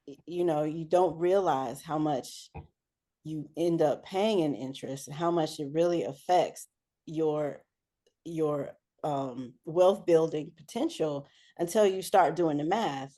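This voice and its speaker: A woman giving conversational interview answers as a guest, often pausing mid-sentence. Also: low-pitched, dark tone. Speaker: interview guest, air traffic control supervisor and businesswoman.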